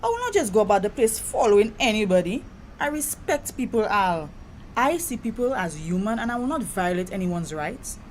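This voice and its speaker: A proud, wounded young Caribbean woman played by an actress in an audio drama. Her conversational delivery is emphatic and indignant, with a very lively pitch, over noticeable background noise. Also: normal speed, close to an everyday voice.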